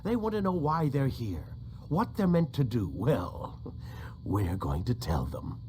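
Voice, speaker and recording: An older scientist villain in a game, lecturing with condescension. His mid-pitched voice is very animated and clipped, with faint noise behind it. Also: slow. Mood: condescending. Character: condescending, impatient older male scientist, coldly idealistic villain. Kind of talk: dramatic video game acting.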